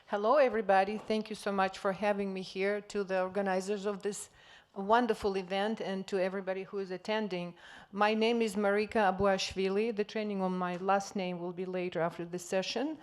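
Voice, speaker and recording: A woman's voice: medium pitch, little pitch movement, fairly quick. A woman panelist, a native Georgian speaker, introducing herself on a conference stage with a handheld microphone. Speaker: panelist, real estate broker. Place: conference stage before an audience.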